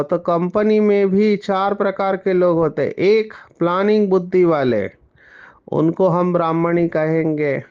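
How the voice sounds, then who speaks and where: A man delivers a spiritual discourse at a measured, very slow pace. His voice sits a little high for a man and rings smooth and clear. The recording sounds slightly muffled. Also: a little mellow. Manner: slow, explanatory, mixing in English words. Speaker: spiritual teacher.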